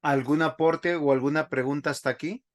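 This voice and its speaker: A man, a pastor teaching a Bible class over a video call, speaking slowly and stopping often to ask the class questions. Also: fairly high pitch, steady tone. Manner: slow, halting, asks the class questions often.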